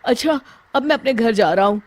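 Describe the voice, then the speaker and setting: An actor playing a timid, nervous village man afraid of ghosts in an animated Hindi story. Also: extremely high pitch, a little fast, dry recording. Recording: faint background noise.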